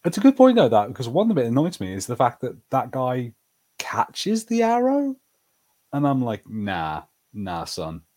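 A man's voice: medium pitch, huge pitch swings, moderate pace, darkish tone. A man co-hosting a podcast, chatting casually as he reviews TV shows. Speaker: podcast co-host.

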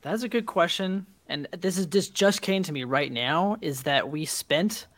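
Animated man with lively, swinging pitch. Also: unhurried, no room sound. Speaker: podcast co-host. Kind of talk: casual chat.

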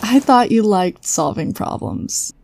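An actress playing a loyal, protective guardian in a sci-fi audio drama, frank and teasing, like a close friend. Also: female voice, mid-range voice, lively intonation, slow pace, vocal fry, a little mellow, no echo, heavily acted. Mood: supportive, teasing.

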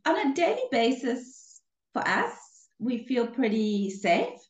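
A woman's voice: on the high side, big pitch swings, slow pace. Older woman, roomy dull sound. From South Africa. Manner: warm storytelling with hesitations and restarts. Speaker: relationships coach, guest. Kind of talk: casual interview conversation.

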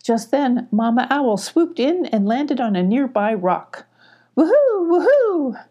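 A woman's voice: a little high, expressive, a little slow, warm tone, dull audio. An older woman reading a scripted children's story as a storyteller.

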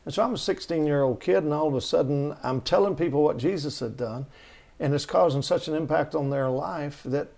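A man's voice: on the high side, brisk, creaky, a little mellow. A middle-aged man, telling rambling stories as an interview guest, with many restarts. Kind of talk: storytelling conversation.

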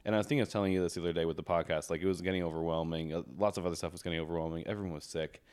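A man's voice: low-pitched, fairly even intonation, rapid-fire, darkish tone, clear, resonant tone. A man in his thirties co-hosting a podcast, chatting casually and rambling with many restarts. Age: 30s. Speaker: podcast co-host. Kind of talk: casual chat.

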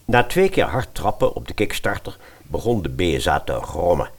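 Deep voiced guy narrating a story, super lively up and down delivery. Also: moderate pace, gravelly. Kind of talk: expressive scripted storytelling.

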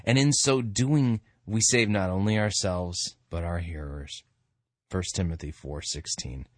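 A man speaks as a radio host in a dry, close recording. His commentary is informal and slow, with drawn-out, theatrical emphasis. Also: low voice, no echo.

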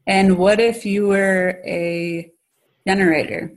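A woman's voice: mid-range voice, steady tone, slow. A woman in a casual chat, speaking in an upbeat, affirming way.

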